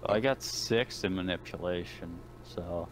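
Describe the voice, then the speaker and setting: A man's voice: deep voice, a little slow, a little hiss or hum. A man chatting casually as a player in an online tabletop game, blunt, assertive and sweary. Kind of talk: casual gaming chat, sweary.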